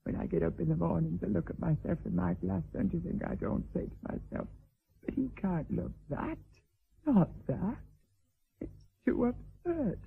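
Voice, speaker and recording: A thin, phone-like radio drama recording of a vulgar, tipsy lady of middle age. Her voice is gravelly and very raspy, and she declaims with coarse bluntness and wounded vanity. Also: on the low side, moderate pace, heavily acted. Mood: maudlin. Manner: declamatory, swinging between coarse bluntness and maudlin grandeur. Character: vulgar, boozy, blowsy lady mistress, vain yet fearful. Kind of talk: theatrical radio drama acting.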